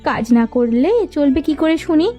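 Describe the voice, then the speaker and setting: Plucky young village heroine for a cartoon, female actor: high pitch, rounded dark tone, earnest and resolute. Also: expressive, a little slow. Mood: feigned innocence. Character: bold, determined young village girl and her sisters. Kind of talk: cartoon story dubbing. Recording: music behind the voice. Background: background music.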